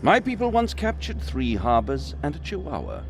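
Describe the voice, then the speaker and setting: Solemn warrior, wildly swooping pitch. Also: male voice, huge pitch swings, normal speed. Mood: solemn deadpan. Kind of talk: game cutscene acting.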